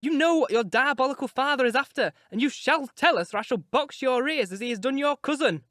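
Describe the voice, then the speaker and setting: A man voicing a stout middle-aged housekeeper woman who shouts shrill, indignant outbursts. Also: lively intonation, fairly quick, no room sound, studio quality, full character voice. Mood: scolding.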